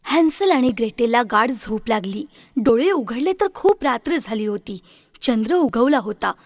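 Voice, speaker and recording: Woman, children's story narrator, high voice, scripted delivery, very dry recording. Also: dry recording.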